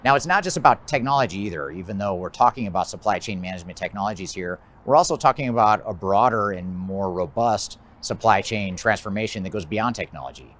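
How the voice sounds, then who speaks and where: An American man giving a measured, methodical explanatory presentation as a business consultant, his phrases often trailing off at the end. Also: on the low side, expressive, a bit bright. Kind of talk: explanatory presentation of a trends list.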